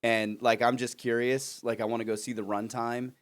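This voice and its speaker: Man, podcast host, mid-pitched voice, casual delivery, teasing banter. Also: level tone, normal speed.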